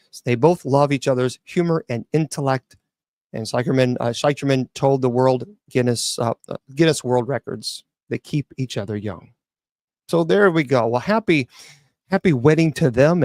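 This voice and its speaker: Male host, mid pitch, reads headlines then riffs chattily; dry, close sound. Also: darkish tone, no echo. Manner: chatty, reads news aloud then riffs with many restarts. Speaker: host reading news stories. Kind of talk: casual commentary on news stories.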